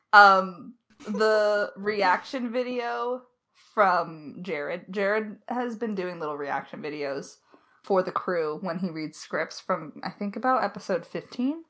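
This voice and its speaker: A woman's voice: medium pitch, fairly bright tone. Woman, mid-range voice, casual Q&A, writer, candid, self-deprecating. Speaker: lead writer of an audio drama. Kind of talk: casual Q&A conversation.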